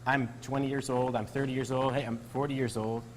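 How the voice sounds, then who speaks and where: A man, a doctor, answering questions in a TV interview and explaining his practice in a steady, explanatory way. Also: mid-range voice, a little fast, a little hiss or hum. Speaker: physician guest.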